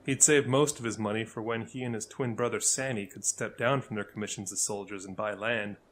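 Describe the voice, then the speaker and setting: A man reading scripted fantasy narration as a storyteller, with dramatic, deliberate delivery. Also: fairly low pitch, fairly even intonation, slight background noise.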